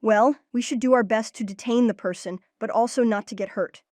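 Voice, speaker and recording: Woman as Max, a higher-voiced kid leader. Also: brisk, darkish tone, no echo, clean studio sound, lightly acted. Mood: in charge. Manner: earnest, take-charge. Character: earnest kid leader, Max, organizing friends. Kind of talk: comic dub character acting.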